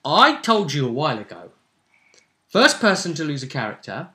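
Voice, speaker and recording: A man's voice: a little high, wildly swooping pitch, moderate pace, pushed and bright. A man hosting as game master, giving a casual recap of a tabletop role-playing campaign. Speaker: game master and vidcast host.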